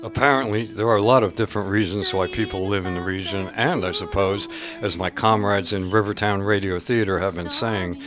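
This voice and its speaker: A man's voice: low-pitched, fairly even intonation, a little fast, over music, natural voice. A man playing a composed narrator, delivering scripted explanatory narration for radio theatre. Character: composed male narrator explaining local village life.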